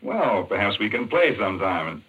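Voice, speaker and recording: An educated gentleman doctor with a low, husky voice. He is courteous, curious and measured, with varied intonation. It sounds like a muffled old 1940s radio drama. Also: sing-song. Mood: interested. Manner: polite, reserved, measured. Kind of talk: 1940s radio mystery acting. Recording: muffled, band-limited 1940s radio recording.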